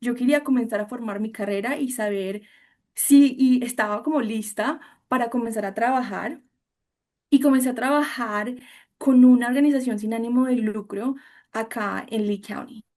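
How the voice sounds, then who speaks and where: A Colombian woman in her thirties talks as an interview guest, her voice fairly high. She speaks fluently and eagerly with a Colombian accent, mixing in English terms now and then. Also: normal speed, darkish tone.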